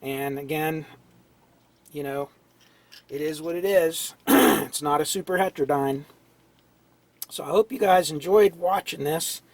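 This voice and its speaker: A man with a hoarse, fading voice, casually explaining an old radio repair at an electronics workbench, clearing his throat now and then. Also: on the high side, a little slow, long pauses, no echo. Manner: relaxed, talks while tinkering, frequent throat clearing. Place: cluttered electronics workbench indoors.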